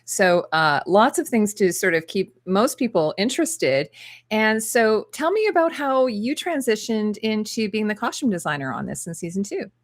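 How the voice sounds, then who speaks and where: A woman's voice: medium pitch, lively intonation, normal speed. A woman hosting a channel interview over a video call, upbeat and enthusiastic, with chatty asides to viewers. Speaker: interview host.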